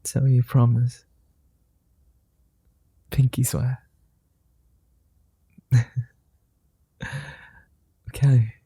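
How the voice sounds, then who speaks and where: A man voicing a gentle, flirtatious male vampire in an ASMR roleplay, speaking softly and formally and slipping into whispers. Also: medium pitch, level tone, breathy edge, dry recording, heavily acted. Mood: teasing, then soothing. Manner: soft-spoken, slow, formal, drifting into whispers.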